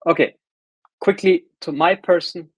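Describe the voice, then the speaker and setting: A man's voice: on the high side, steady tone, measured pace, husky, no echo. A middle-aged man with a German accent presenting a technical webinar in a conversational way, with frequent fillers and restarts.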